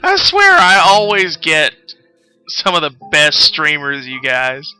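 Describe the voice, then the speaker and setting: A man with a fairly high, strikingly bright and forceful voice streams a game and tells a story as he plays. He talks casually and wanders off track in an absent-minded way. Music plays clearly behind him. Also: huge pitch swings, slow, low-quality recording. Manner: rambling, absent-minded, often losing his thread. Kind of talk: casual live-stream storytelling while gaming. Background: background music.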